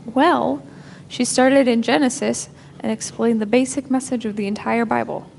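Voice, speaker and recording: A young woman playing a sincere, eager niece, speaking earnestly and a little haltingly in natural dramatic dialogue. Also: on the high side, fairly even intonation, gravelly, a little mellow, a little hiss or hum. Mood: earnest, glad.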